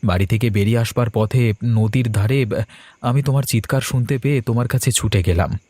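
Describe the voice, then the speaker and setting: A man playing a helpful, concerned young villager in a cartoon, earnest and gently chiding. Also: medium pitch, brisk, dry recording, close to an everyday voice.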